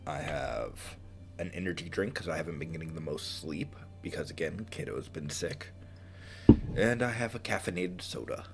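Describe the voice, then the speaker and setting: Deep-voiced congested man, slow and halting. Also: vocal fry, over music. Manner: halting and apologetic, with many ums. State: congested from a cold. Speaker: streamer. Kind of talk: casual gaming stream chat.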